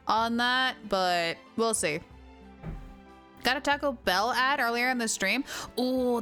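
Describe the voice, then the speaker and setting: A woman hosting a livestream, chatting casually and reacting out loud in an animated way. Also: mid-range voice. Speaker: livestream host. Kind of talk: casual gaming stream chat. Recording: game music behind the voice. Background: music.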